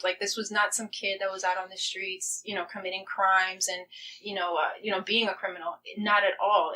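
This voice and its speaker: Woman interview guest, earnest and thoughtful, bright forward tone, slightly distant microphone. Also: mid-range voice, slight background noise. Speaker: playwright guest. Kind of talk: conversational podcast interview.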